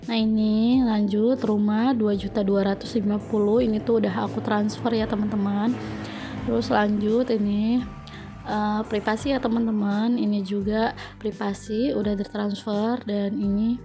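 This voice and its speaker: A woman's voice: mid-range voice, level tone, moderate pace, dark tone, soft background music. A young woman vlogger with a stuffy nose from a cold, chatting casually to her viewers over a home budgeting voice-over, with many fillers. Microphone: phone.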